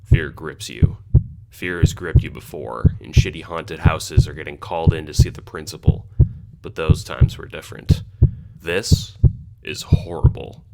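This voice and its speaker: Very deep gravelly male narrator. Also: steady tone, measured pace, dark tone, a little hiss or hum, low-quality recording. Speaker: audio drama narrator and creator. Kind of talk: second-person scripted narration. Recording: background music under the voice.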